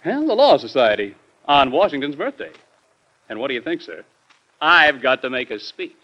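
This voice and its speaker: An actor playing an outspoken, earnest young gentleman, a president's son, in an old-time radio drama. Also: mid-range voice, sing-song, normal speed, noisy background, natural voice. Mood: earnest, conciliatory. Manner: earnest, forthright, formally polite. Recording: muffled vintage radio recording.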